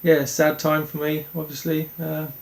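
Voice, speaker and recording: A young man from Denmark, a speedway rider answering interview questions in an earnest, halting way with many restarts. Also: a little high, monotone, creaky.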